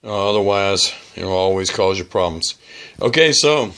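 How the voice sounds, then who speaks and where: Bright-voiced man, low-pitched, giving hands-on advice. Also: expressive. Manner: halting, plain-spoken, cautionary. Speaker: amateur radio hobbyist presenting a how-to video. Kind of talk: casual hands-on demonstration and advice.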